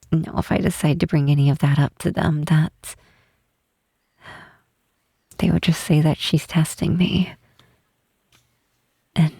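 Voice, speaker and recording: Woman playing a slow, husky, shy half-troll cleric. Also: sits low, dry recording, exaggerated voice. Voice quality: soft, husky, breathy. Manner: hesitant, halting, apologetic, with sighs. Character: tall half-troll woman cleric, shy, guilt-ridden former lover. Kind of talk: ASMR roleplay, intimate scripted monologue.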